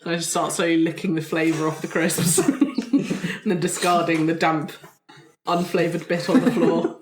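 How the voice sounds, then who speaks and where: Female tabletop player, lowish voice, playful casual improvised narration, roomy echo. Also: normal speed. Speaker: player in a tabletop roleplaying game. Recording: roomy, echoing recording.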